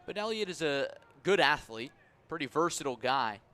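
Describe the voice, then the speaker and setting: A man calling baseball play-by-play on the radio in measured, descriptive, clipped phrases. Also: fairly high pitch, lively intonation, pushed and bright, clear, resonant tone. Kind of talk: radio sports commentary.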